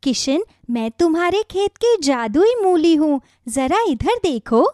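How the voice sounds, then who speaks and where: A woman acts out a helpful little magic radish from a storybook cartoon. She speaks high, with lively swoops, in a caring, reassuring manner. The recording is very dry. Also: measured pace, dry recording. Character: kindly, helpful female magic talking radish. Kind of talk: children's moral story cartoon.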